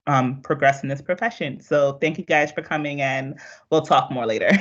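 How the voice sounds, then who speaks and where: A man's voice: a little high, moderate pace, a bit bright. A young man giving an informal student self-introduction on a video call. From Nassau, Bahamas. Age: young adult. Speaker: physiotherapy student. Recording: dull video call audio.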